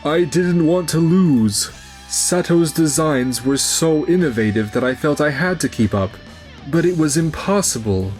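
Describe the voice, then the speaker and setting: A man's voice: a little high, expressive, a little slow, a little mellow, soft background music. A man voicing a flustered, envious young office rival who stammers, in a manga dub. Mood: defeated, defensive. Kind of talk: anime-style manga dub acting.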